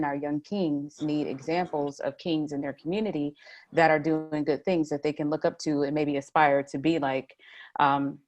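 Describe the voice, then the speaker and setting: Low-voiced upbeat woman host. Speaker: host and life coach. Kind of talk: casual video interview.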